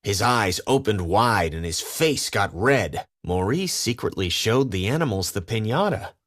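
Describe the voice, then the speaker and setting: A man's voice: on the low side, expressive, a little slow, a bit bright. A man reading a children's storybook as a scripted narration.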